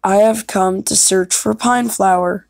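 A woman voicing a bold, earnest warrior cat for an amateur cartoon audition, speaking deliberately. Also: mid-range voice, steady tone, vocal fry, a little mellow, no room sound. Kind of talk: amateur fan animation audition lines.

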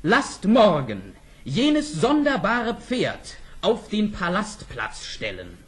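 A bold young prince from a storybook record. His voice sits high and swings widely in pitch. He declaims slowly and ardently, with theatrical pride. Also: fairly bright tone, exaggerated voice. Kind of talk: German audio fairy-tale drama acting.

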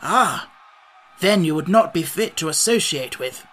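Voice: mid-range voice, expressive, unhurried, a bit bright. A storybook reader voicing a genial, self-important scarecrow king who speaks in a pompous, declamatory way. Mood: pompous, reassuring.

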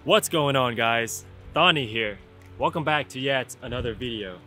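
Excited young man fishing on a pier. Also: mid-range voice, normal speed, faint music behind. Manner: excited, chatty, calls viewers "man" and "guys". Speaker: fishing vlogger. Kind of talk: casual vlog narration while fishing. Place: outdoor fishing pier by the sea. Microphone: camera-mounted shotgun mic.

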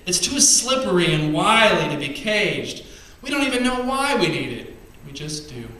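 A man in his thirties reading his poems on a small cafe stage, emphatic and rhythmic, with quiet asides between poems. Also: high-pitched, big pitch swings, moderate pace, noisy background. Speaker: spoken word poet. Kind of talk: spoken word poetry reading. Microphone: stand mic, far camera. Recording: echoey venue, camera from far off.

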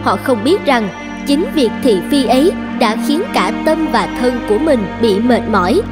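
A woman's voice: fairly high pitch, expressive, normal speed, lightly acted. A woman voicing a reflective elderly village woman in a cartoon, humble and musing. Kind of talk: dubbed cartoon moral tale. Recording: music behind the voice.

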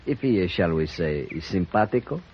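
An actor playing a courteous, insinuating Mexican town mayor in a 1950s radio western, with a Mexican accent. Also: male voice, low-pitched, lively intonation, a little fast, slight background noise. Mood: suave, guarded. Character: smooth, sly red-headed Mexican-Irish town mayor. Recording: dull, muffled 1950s radio recording.

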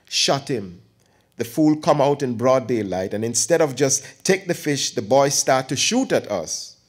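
A man's voice: mid-range voice, lively intonation, a little slow, voice pushed up. A man voicing a sly old auntie. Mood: grim. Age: older. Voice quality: teasing, theatrical, lapsing into dialect. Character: sly gossipy elderly aunt, a woman.

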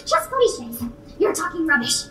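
A woman acting an irritable, high-voiced misanthropic man. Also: sing-song, unhurried, pushed and bright, soft background music, full character voice. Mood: scornful. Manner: gruff, snappish, contemptuous. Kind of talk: radio play acting. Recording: roomy with faint noise and clicks.

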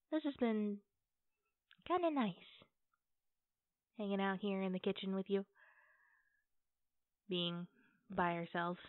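A woman playing an affectionate, playful girlfriend in a casual, conversational ASMR roleplay, with hesitant pauses. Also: medium pitch, no echo, close to an everyday voice. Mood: tender, content. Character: affectionate, playful girlfriend baking cookies at home. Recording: tinny, phone-like band-limited audio.

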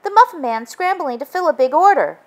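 Lady doing a huffy storybook girl, high voice, complaining and fed up. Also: expressive, a little fast. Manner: exasperated, complaining.

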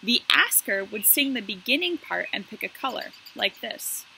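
A woman in her thirties talking to first graders as a guest story reader, explaining things simply and in an animated way. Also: mid-range voice, moderate pace, gravelly, a little hiss or hum, rough recording. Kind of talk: addressing young children, explanatory read-aloud intro. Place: outdoor covered patio.

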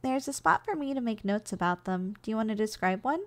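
A woman playing a gentle, doting giantess secretary in an ASMR role-play, coaxing and nurturing in manner. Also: on the high side, expressive, brisk. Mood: calm, soothing. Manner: soft, coaxing, nurturing, teasingly affectionate. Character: gentle, doting giantess secretary caring for her shrunken boss.

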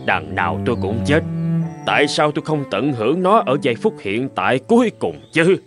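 A man acting earnest men in an animated moral fable, with a theatrical, declamatory delivery. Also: mid-range voice, brisk, natural voice. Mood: reflective. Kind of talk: animated folk tale dub. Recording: music behind the voice.

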